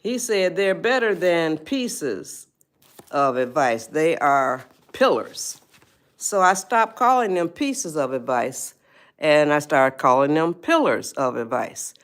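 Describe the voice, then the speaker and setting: A woman's voice: low-pitched, expressive, slow pace. A woman in her eighties hosting a live stream, talking to viewers in a chatty, folksy way and calling them y'all. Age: 80s. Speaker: livestream host. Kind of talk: casual livestream talk.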